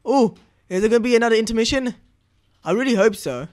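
A young man giving excitable gameplay commentary as the host, exclaiming and often repeating his words. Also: extremely high pitch, fast. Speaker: gaming video host. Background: game music and sounds.

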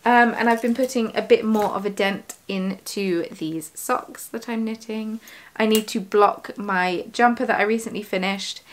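A woman's voice: medium pitch, normal speed. Chatty woman rambling on camera. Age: young adult. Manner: chatty, rambling, many fillers and self-corrections. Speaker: yarn shop owner and vlogger. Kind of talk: casual studio vlog. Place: living room at home, indoors. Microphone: camera on desk at close range.

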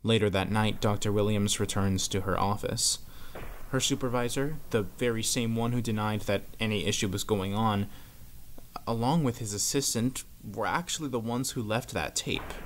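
Man, low voice, conversational scripted storytelling, horror narrator, noisy ambient background. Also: normal speed. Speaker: narrator of a horror series explainer video.

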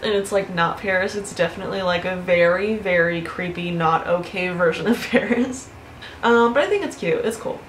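A woman in her twenties telling stories to camera in a casual vlog, chatty and animated, with frequent swearing and laughter, recorded in her bedroom. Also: lowish voice, normal speed, roomy sound, slight background noise. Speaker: YouTuber. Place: bedroom with fairy lights.

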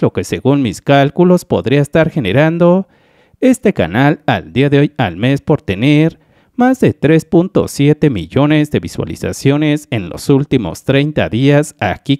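A man's voice: medium pitch, warm tone, dry recording, studio quality. A man from Mexico talking viewers step by step through a calculation in a screen-recorded explainer voice-over, calling them his dear friends. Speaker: YouTube channel analyst.

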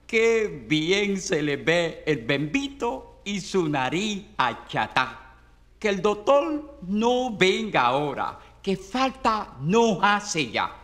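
A man's voice: sits high, sing-song, a bit bright, full character voice. A man performing a dialect recitation as a doting mother soothing her little boy, tender and crooning, with a Caribbean Spanish accent. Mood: relieved, doting. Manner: tender, crooning, drawn-out baby talk. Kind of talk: theatrical dialect poetry recitation.

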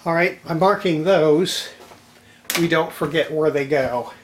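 Older male hobbyist, slightly high voice, folksy unscripted demo delivery, roomy sound with some clatter. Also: measured pace. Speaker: hobbyist restorer presenting his repair video. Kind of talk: casual unscripted workbench demonstration. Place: indoor home electronics workshop. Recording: roomy echo, clattering tool and handling noise.

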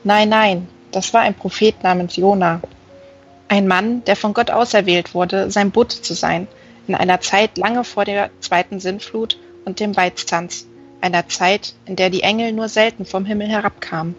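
A woman voicing a gentle, learned male fallen angel in an audiobook, earnestly explaining scripture. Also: medium pitch, fairly even intonation, moderate pace, lo-fi sound, soft background music, close to an everyday voice.

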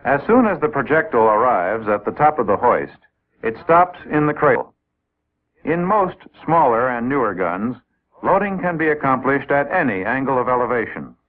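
American guy doing 1950s navy training film voiceover, medium pitch, step by step explaining, old tinny radio-like sound. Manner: clear, methodical, explanatory, addressing trainees directly. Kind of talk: scripted 1950s military instructional film narration.

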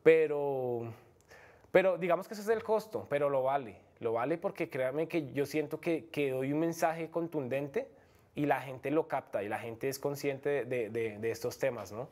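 A man's voice: medium pitch, moderate pace, darkish tone. A young man from Colombia with a Bogotá accent, an MMA fighter speaking frankly as a guest in a studio interview, full of fillers and restarts. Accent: Bogotá Colombian Spanish. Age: young adult. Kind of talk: casual interview talk. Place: TV studio set.

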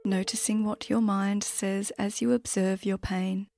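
Female meditation guide, medium pitch, soothing and slow with long pauses, set over prominent soft flute music. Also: flat delivery, vocal fry.

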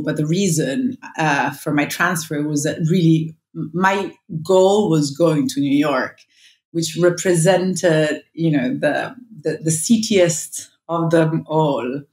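Low-voiced Italian woman on a video call. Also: a little mellow, reverberant. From northern Italy. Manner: reflective, many restarts and fillers. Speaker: guest. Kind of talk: casual interview chat. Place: home room. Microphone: earbuds on video call.

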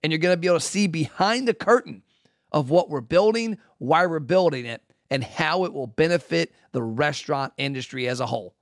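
A man's voice: on the high side, expressive, a little fast, no echo. A man hosting a podcast, reading a scripted appeal to listeners in a direct, upbeat way. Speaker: podcast host. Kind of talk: scripted promo read.

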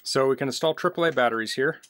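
A man's voice: mid-range voice, fast talker, a bit bright. A man casually demonstrating a product in a plain-spoken, practical, explanatory way, recorded indoors. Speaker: product reviewer. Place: basement, indoors.

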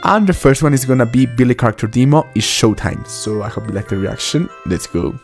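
A man in his twenties, a native Spanish speaker, hosting a casual reaction vlog in an enthusiastic, halting, informal way. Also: medium pitch, lively intonation, soft background music. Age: 20s. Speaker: host of a reaction channel. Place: small furnished room at home. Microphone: close desk microphone, headphones worn.

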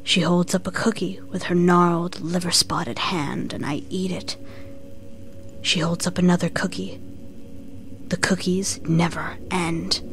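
Woman acting a slow, haunted horror narrator. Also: fairly low pitch, fairly even intonation. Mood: haunted dread. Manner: breathy, halting, melodramatic delivery, often near whispering. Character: haunted, sleepless narrator sliding into cookie-obsessed madness. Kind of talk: horror story reading. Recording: noisy, with eerie ambient music behind. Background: slowed, eerie game soundtrack music.

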